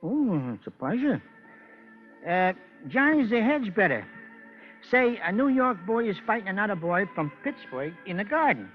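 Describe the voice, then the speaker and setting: An actor playing a nervous, wheedling small-time hustler in an old radio crime drama, stammering and putting on genteel airs. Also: male voice, sits high, big pitch swings, normal speed. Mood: eager, chatty. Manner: stammering, hesitant, mock-genteel. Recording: muffled old radio recording, music behind.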